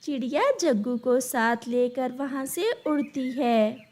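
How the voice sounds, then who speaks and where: A woman's voice: high voice, steady tone, slow, darkish tone. A woman narrator reading a scripted children's story.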